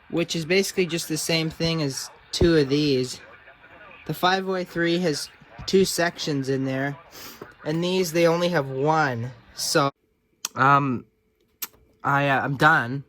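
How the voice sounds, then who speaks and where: A man's voice: on the high side, fairly even intonation, slow, pauses often, a bit bright, rough recording. A man talking casually and off the cuff, in an offhand, self-deprecating way, as he narrates a hands-on repair. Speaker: hobbyist radio repairer presenting own video.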